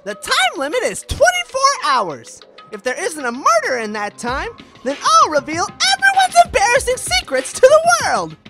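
A woman's voice: very high-pitched, wildly swooping pitch, normal speed, few pauses, over loud music, exaggerated voice. A woman voicing a gleeful robotic bear villain in a fan manga dub, announcing in a theatrical sing-song. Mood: gleeful, taunting.

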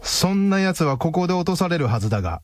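An actor playing a blunt, literal-minded male agent with a deadpan, earnest manner in a comedic radio drama. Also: fairly high pitch, quick pace, no room sound.

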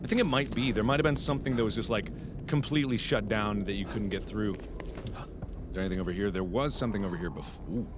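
A man hosting a let's play, commenting on gameplay with sudden loud outbursts and mumbled asides. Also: on the low side, fast talker, background noise. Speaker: gaming video host. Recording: game music behind the voice. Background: game music.

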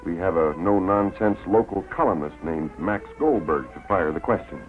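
An American man narrating a 1950s promotional documentary in a measured, deliberate way with dramatic pauses. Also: low-pitched. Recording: tinny old film soundtrack, faint music.

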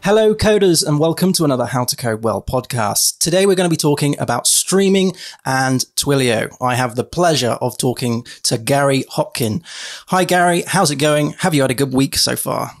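A man's voice: mid-range voice, animated, moderate pace. A man in his thirties hosting a podcast, asking questions in an upbeat, casual way. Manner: upbeat, lots of listening murmurs. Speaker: podcast host. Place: home studio room. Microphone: headset and studio mic.

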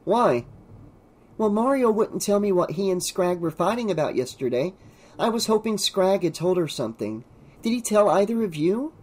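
A narrator voicing a worried, tender teenage girl in an audiobook, soft and pleading in manner. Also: medium pitch, very animated, normal speed, voice pushed up. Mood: uneasy, curious. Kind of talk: audiobook character dialogue.

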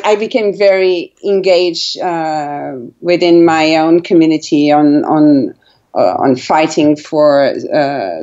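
Slow, hesitant woman from Portugal. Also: sits low, dull audio. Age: 40s. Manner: hesitant, many fillers and restarts, laughs softly. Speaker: guest, brand founder. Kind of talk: casual interview.

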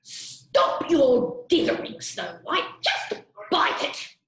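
A woman's voice: sits high, sing-song, takes it slowly, fairly bright tone, full character voice. A woman playing a sly, impatient old witch with a commanding, wheedling manner in a pantomime. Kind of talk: children's pantomime villain acting. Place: indoor room, virtual background. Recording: echoey room.

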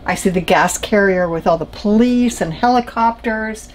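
A woman's voice: mid-range voice, moderate pace, a little hiss or hum. A woman hosting a sailing vlog, chatting casually about life aboard. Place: on a sailboat in a harbor.

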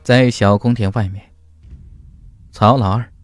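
A man's voice: lowish voice, steady tone, measured pace, no echo. A man narrating a fantasy audiobook in a dramatic, scripted style over music. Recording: dry studio voice over music.

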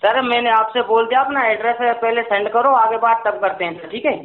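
Pushy sales agent guy, high voice, keeps repeating himself, tinny phone call audio. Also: fairly even intonation, brisk. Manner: insistent, repetitive. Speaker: phone agent for a coin-buying company. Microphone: telephone. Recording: tinny, narrowband phone line recording.

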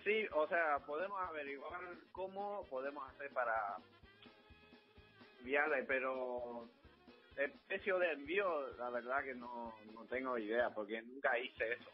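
A man's voice: medium pitch, measured pace. Male shopkeeper on a call: polite, hesitant, casual, slightly lo-fi phone sound. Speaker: supermarket owner taking a phone call. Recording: dull phone audio, faint music behind.

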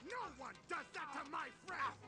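A man playing an excitable, loyal cowboy doll in a storybook recording. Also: very high voice, normal speed, over music. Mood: bold, excited.

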